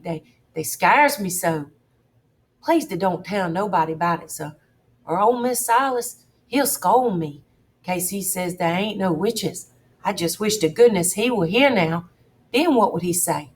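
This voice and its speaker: A woman voicing a frightened, superstitious older farmhand who pleads in a high, breathless voice. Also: breathy edge.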